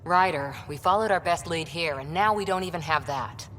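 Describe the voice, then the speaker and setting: A woman's voice: on the low side, normal speed, fairly bright tone, lightly acted. A woman voicing a frank, skeptical spaceship crew member in a video game. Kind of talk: game dialogue. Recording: reverberant room, faint music behind.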